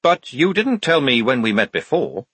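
A man's voice: mid-range voice, very animated, normal speed, fairly bright tone, husky, dry recording, pitched above the performer's own voice. A man voicing an inquisitive, slightly reproachful male newspaper writer, hesitant and earnest, in an audiobook. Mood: eager, reproachful.

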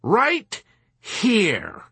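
A sneering army colonel, put on by a man, with a husky voice pitched high in mock sweetness, drawing out each taunt. It leaps around in pitch, and the sound is dry and close. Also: huge pitch swings, sharp tone, dry recording, full character voice. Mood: sarcastic. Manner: scornful, taunting, drawn-out sarcasm. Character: sarcastic, superior male army colonel interrogator.

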